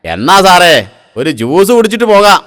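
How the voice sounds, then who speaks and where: High-pitched, coaxing cartoon con man. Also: big pitch swings, normal speed, fairly bright tone, big-room sound. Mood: sly, coaxing. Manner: ingratiating, coaxing. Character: wily, falsely polite male car thief hitchhiker. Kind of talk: comic cartoon dialogue.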